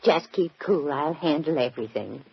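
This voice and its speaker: An actress playing a spirited, eccentric older woman in a 1940s radio drama, husky, chirpy and coy, with quaint detective slang. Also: low voice, expressive, normal speed, background noise. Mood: brisk, pleased. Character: spirited, eccentric old woman, a mystery-novel fan playing sleuth. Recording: muffled vintage 1940s radio recording.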